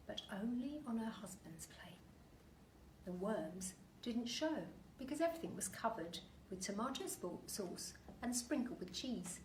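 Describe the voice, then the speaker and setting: A woman reading a children's story aloud like a teacher, expressively and with deliberate, dramatic emphasis. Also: medium pitch. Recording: roomy echo, distant mic, faint noise.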